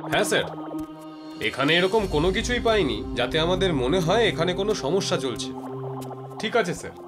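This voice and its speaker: A man playing a dutiful, official police officer with clipped delivery in an animated horror story. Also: high voice, a little fast, over music.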